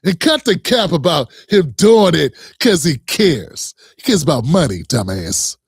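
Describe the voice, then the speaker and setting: A man's voice: a little high, sing-song, unhurried, gravelly, breathy edge, no echo, clean studio sound. A man hosting a reaction commentary, talking in a heated, emphatic way with frequent profanity and direct address to viewers. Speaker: commentary host.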